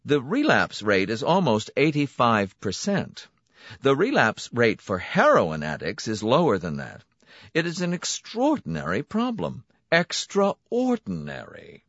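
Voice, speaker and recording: A man voicing a smooth, smiling, menacing clinic director who relishes his words. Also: medium pitch, wildly swooping pitch, measured pace, a bit bright, no echo, highs cut off, voice pushed up, exaggerated voice. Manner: silky, pointed, relishing emphasis.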